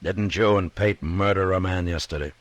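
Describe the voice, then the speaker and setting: An actor playing a stern, laconic Old West marshal in a measured, firm manner, in 1950s radio western style. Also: male voice, low-pitched, no room sound, close to an everyday voice.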